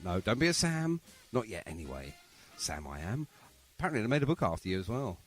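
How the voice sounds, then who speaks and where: A man's voice: lowish voice, very animated, brisk, faint music behind. A man with a London accent hosting a casual podcast interview, bantering and rambling as he interjects. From London, England. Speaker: podcast host. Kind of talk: casual jokey interview chat.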